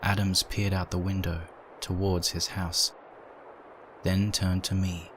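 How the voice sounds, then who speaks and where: A man's voice: low voice, flat delivery, takes it slowly, a bit bright, a little hiss or hum, poor audio. A man narrating a scripted first-person story as a dramatic reading.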